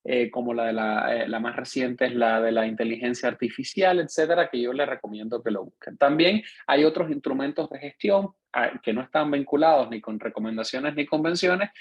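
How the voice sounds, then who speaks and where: A man's voice: mid-range voice, fairly quick, few pauses, muffled recording. A man with a Cuban accent giving an online lecture as a course instructor, explaining at length and often checking in with his listeners.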